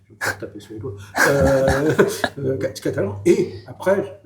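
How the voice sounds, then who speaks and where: A man's voice: medium pitch, lively intonation, normal speed. Male interviewer, mid-range voice, probing; echoey room, faint background noise. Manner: probing, with restarts. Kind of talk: interview questions. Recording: roomy with faint ambience.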